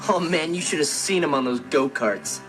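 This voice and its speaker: A man's voice: on the high side, normal speed, music in the background, lightly acted. A young man with an American accent playing a cool, carefree, mischievous teenage brother in a sitcom, talking casually to camera. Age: teenage to young adult. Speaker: sitcom lead. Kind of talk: scripted sitcom monologue to camera.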